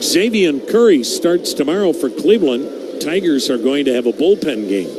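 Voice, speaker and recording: A man calling a baseball game pitch by pitch on the radio, giving the count and the score in a measured way. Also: sing-song. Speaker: radio play-by-play announcer. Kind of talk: baseball play-by-play commentary. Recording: noisy broadcast audio.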